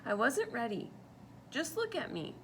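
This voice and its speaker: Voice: mid-range voice, huge pitch swings, a little slow, slight background noise, pitched above the performer's own voice, exaggerated voice. A woman voicing an annoyed young girl animal in a storybook, speaking sharply and huffily. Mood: huffy. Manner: sharp and huffy.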